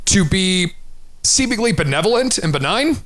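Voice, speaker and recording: A man's voice: sits high, big pitch swings, normal speed, fairly bright tone. A man talking casually about films on a podcast, emphatic and freely sweary as he gives his opinions. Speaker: film podcast panelist. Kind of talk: casual film discussion.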